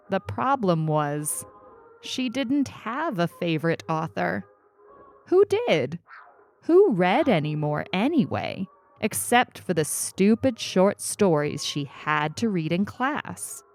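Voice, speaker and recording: A woman reading scripted fiction as a story narrator, with expressive storytelling. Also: mid-range voice. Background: faint music.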